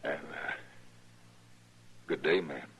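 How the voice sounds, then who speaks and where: A very low, forceful male voice as a sly frontier freight boss. He talks folksy and insinuating, smooth but pushy, in a radio Western with some background noise. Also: steady tone, slow pace, full character voice. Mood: falsely genial. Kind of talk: old-time radio Western drama acting. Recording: noisy vintage radio drama recording.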